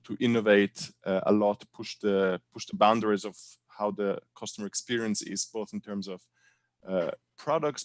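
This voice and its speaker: Low-voiced man, dry sound. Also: no room sound. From Italian-speaking Switzerland. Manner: earnest, halting with many fillers. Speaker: panel guest, brand co-founder. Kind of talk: online panel introduction.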